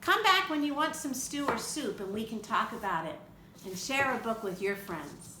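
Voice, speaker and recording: A woman reading a picture book aloud to children in an expressive way, with chatty asides to her young listeners. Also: fairly high pitch, moderate pace. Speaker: read-aloud storyteller for children. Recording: roomy echo with faint background noise.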